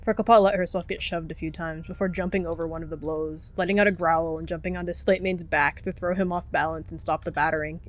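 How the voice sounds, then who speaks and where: A woman's voice: lowish voice, steady tone, fast, hardly any pauses. A woman reading scripted story narration for an animal fantasy roleplay.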